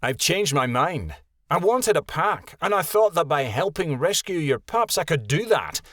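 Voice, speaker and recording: A man's voice: on the high side, sing-song, unhurried, a bit bright, no room sound, pitched above the performer's own voice, full character voice. Man doing a cowardly young wolf, whiny and defensive, a bit raised, dry sound. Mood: pleading.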